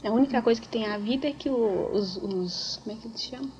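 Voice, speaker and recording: A woman's voice: on the high side, quick pace, a little mellow, slight background noise. Hesitant woman searching for words. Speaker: interviewee. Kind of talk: casual group conversation. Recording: dull lo-fi tape recording.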